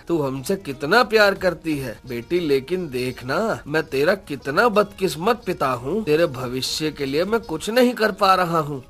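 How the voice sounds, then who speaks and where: Man doing poor village father in cartoon story, tender n sorrowful, a bit high pitched, music under. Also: fairly bright tone. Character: poor, loving, self-blaming woodcutter father. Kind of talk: Hindi moral story cartoon dialogue.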